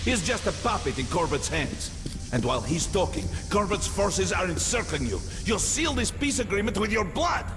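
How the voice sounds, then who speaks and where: An urgent pushy survivor in a game, high voice that swings around a lot, music behind. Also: male voice, very animated, normal speed. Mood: insistent, grim. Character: impassioned, insistent male survivor advising a colonel. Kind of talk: dramatic video game acting. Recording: long reverb, music underneath.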